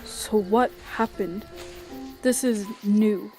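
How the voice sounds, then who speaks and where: Casting: woman as easygoing skeleton monster, wry and pensive, medium pitch, comic dub. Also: slow pace, a little mellow, faint music behind, close to an everyday voice. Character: laid-back wry skeleton monster, pensive and world-weary. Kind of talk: animated comic dub acting.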